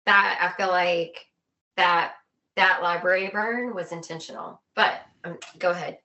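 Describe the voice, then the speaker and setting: A woman's voice: on the low side, fairly even intonation, measured pace, bright, forceful tone, highs cut off. A woman talking casually and earnestly in an opinionated conversation, often repeating phrases for emphasis.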